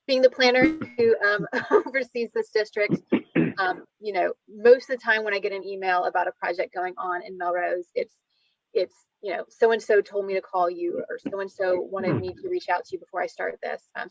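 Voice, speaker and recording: Halting woman, dry and dull sound. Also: fairly low pitch, no echo. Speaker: city preservation planner. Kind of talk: informal spoken presentation at a public meeting. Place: remote online video meeting. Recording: dull, lo-fi online meeting audio.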